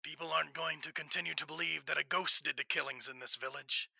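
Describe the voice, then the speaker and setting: A young man playing a stern, invisible crime-fighting investigator in classic radio drama style, measured, formal and ominous. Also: on the high side, fairly even intonation, gravelly, sharp tone, husky, no echo, heavily acted. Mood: quiet menace. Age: young adult.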